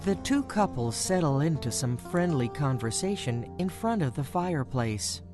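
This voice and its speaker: A narrator introducing a horror radio drama in a slow, ominous manner. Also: mid-range voice, expressive. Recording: music score behind.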